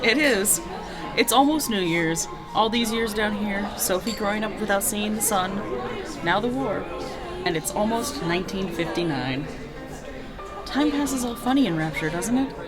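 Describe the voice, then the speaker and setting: A woman's voice: medium pitch, lively intonation, moderate pace, lightly acted. Woman acting an anxious wife amid party noise. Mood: wistful, worried. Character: anxious young mother and wife in troubled city. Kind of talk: radio drama acting. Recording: music and party crowd behind.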